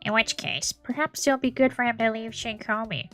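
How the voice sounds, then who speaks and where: A woman reading the lines of a chatty young man who writes a gossip newsletter, in a breezy, lively manner. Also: fairly high pitch, normal speed, soft background music, pitched above the performer's own voice, natural voice. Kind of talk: reading visual novel dialogue aloud.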